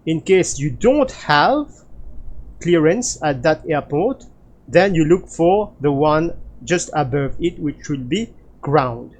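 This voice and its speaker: Male tutorial instructor, slightly higher-pitched voice, very measured pace, hesitant with many filled pauses. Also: animated. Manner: hesitant, halting delivery with frequent filler pauses. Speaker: flight simulator instructor. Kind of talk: explanatory tutorial narration.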